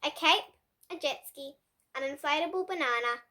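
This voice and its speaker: A woman's voice: high voice, moderate pace. A young girl voicing a greedy, cranky, demanding little male pug dog from a storybook. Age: child. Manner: demanding, shouting.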